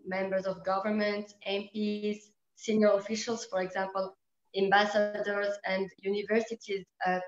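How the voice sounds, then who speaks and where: Woman briefing over an echoey call. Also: medium pitch. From Morocco. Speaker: student leader, webinar panelist. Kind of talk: prepared briefing on a webinar. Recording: dull, echoey internet call.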